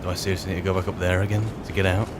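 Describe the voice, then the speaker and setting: A man's voice: low-pitched, level tone, racing, heavy background noise, natural voice. A man playing a wry, grumbling adventurer in a fantasy video game roleplay, musing to himself in a hesitant, politely ironic way. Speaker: roleplaying game player and narrator. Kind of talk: in-character improvised gameplay commentary.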